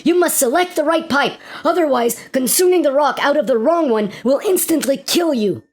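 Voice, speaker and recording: A woman's voice: high voice, animated, moderate pace. A woman playing an ancient, solemn guardian of a secret key, patient and cryptic, in a comic radio play. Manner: patient, cryptic, matter-of-fact.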